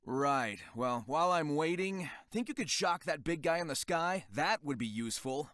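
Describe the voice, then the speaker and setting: A cocky, teasing young video game hero. His pitch is a little high for a man and the sound is dry. He talks casually, with a wry, sarcastic edge. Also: expressive, moderate pace, a bit bright, no room sound. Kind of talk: video game cutscene acting.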